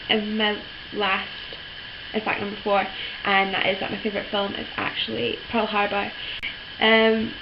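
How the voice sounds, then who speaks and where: A teenage girl, hoarse from a cold, rambling through a casual vlog with lots of ums and likes. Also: on the high side. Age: teens. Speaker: vlogger. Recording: dull lo-fi with steady background noise.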